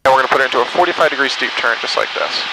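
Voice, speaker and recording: Fast-talking male flight instructor over noisy intercom. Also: mid-range voice, gravelly. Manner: encouraging, reassuring. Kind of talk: hands-on instruction with casual talk. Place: small airplane cockpit in flight. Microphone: aviation headset intercom. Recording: noisy cockpit intercom, engine drone.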